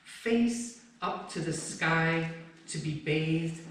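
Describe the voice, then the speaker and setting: A woman poet speaks to an audience at a very slow pace. Her voice comes from some distance off in a very echoey room, with faint background noise. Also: low-pitched, a bit bright. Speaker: poet presenting own poem. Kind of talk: introducing and reciting a poem to an audience. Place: echoey room at a live book launch event.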